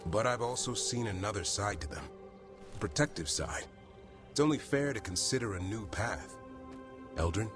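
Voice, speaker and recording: An actor playing an earnest, caring man with a solemn, measured delivery in a cinematic game scene. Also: fairly low pitch, expressive, natural voice. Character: earnest, self-doubting man, caring guardian of griffins. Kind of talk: cinematic video game acting. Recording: clear music under the voice.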